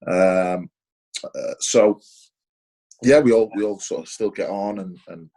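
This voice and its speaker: A man's voice: lowish voice, slow pace, dark tone, low-quality recording. A man in his forties with a Sheffield accent, answering interview questions hesitantly, with lots of fillers and restarts. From Sheffield, England. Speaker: interview guest, former footballer.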